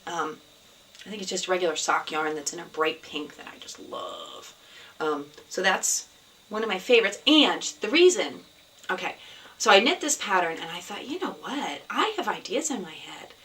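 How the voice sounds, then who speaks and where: Hoarse woman host, scratchy voice. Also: fairly low pitch, sing-song, moderate pace. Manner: rambling, many ums, soft and sad in places. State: hoarse from a cold. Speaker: podcast host. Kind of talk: casual video podcast chat. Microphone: camera. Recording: somewhat distant mic, faint background noise.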